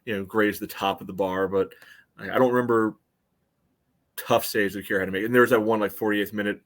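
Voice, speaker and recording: A man's voice: fairly low pitch, steady tone, fast, a bit bright. A man co-hosting a sports podcast, casually breaking down a soccer match in a conversational, qualifying way.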